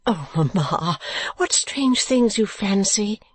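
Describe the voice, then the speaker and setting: Female narrator as modest young woman in period novel: raised pitch, gentle, earnest, demurring. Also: animated, takes it slowly, breathy edge. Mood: embarrassed. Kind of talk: dramatised classic novel reading.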